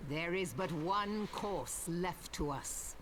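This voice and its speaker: An actress playing a stern, commanding admiral in a video game, delivering grave nautical oratory in archaic English. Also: female voice, on the low side, noisy background. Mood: solemn, resolute. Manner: grave, deliberate, declamatory. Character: stern commanding female admiral rallying her pirate crew.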